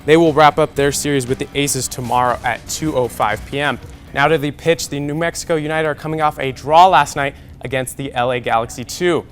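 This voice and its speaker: A man's voice: medium pitch, brisk, slight background noise. A young man anchoring a scripted TV sports newscast. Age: young adult.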